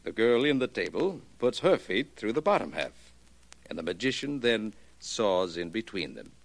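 An actor playing a proud, wary old illusion builder in a 1940s radio mystery, speaking formally and guardedly. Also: male voice, on the low side, sing-song, moderate pace. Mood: guarded, explaining. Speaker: actor in a supporting part.

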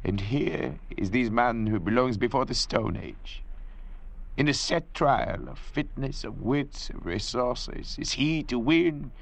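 A man playing an arrogant, intellectual doctor cast away at sea in old radio drama style, lecturing theatrically in a gravelly voice. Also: mid-range voice, slow pace. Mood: intense, brooding. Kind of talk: 1940s radio drama acting. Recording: old radio recording with faint hiss.

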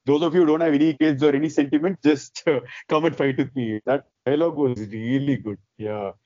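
A man chatting as a podcast host, loud and excited, rambling with restarts. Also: a little high, brisk, dry recording, lo-fi sound. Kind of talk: casual chat.

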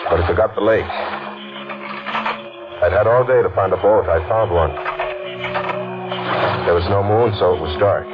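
An actor playing a desperate, scheming killer in a 1940s radio mystery, speaking in hesitant, clipped phrases. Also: male voice, sits low, close to an everyday voice. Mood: grim, brooding. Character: desperate, scheming man, a guilty killer confessing his crime. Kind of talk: 1940s radio mystery acting, first-person narration. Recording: dull vintage radio recording, loud music.

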